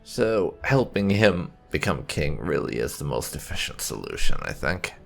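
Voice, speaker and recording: Deep, gravelly man with swooping intonation. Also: big pitch swings, faint music behind. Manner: thoughtful, deliberate, reading character dialogue aloud. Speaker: gaming channel host. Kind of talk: roleplay let's play commentary.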